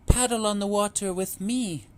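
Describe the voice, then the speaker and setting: A man voicing a gentle mother duck who coaxes her ducklings in a sing-song way. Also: sits high, moderate pace, pitched above the performer's own voice, natural voice. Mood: coaxing.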